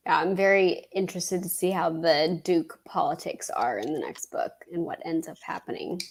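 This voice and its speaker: A woman's voice: on the low side, moderate pace, husky. A woman chatting in a casual, reflective and agreeable way in a book discussion on a video call. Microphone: online group video call.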